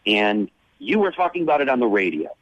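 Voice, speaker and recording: A man talks as a reluctant guest on a radio call. His mid-pitched voice is defensive and aggrieved. The phone sound is dull and dry. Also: very animated, fairly quick, a bit bright, dry recording.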